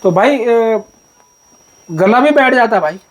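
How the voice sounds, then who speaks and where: A man with an Uttarakhand accent hosts a casual interview and heaps on praise, his talk loose and full of fillers. His voice is high for a man. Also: lively intonation, slow, rough recording. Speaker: vlogger, interviewer.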